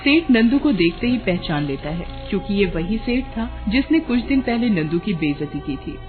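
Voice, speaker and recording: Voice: medium pitch, big pitch swings, moderate pace, phone-quality sound, music in the background. A narrator telling a scripted moral story for a cartoon.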